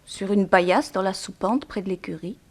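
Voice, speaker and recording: A young woman of a planter's family, bereaved yet composed, played by an actress in a 1960s French radio play. She speaks frankly in a middle-pitched voice, with measured, theatrical diction and a guarded edge. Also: lightly acted. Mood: thoughtful.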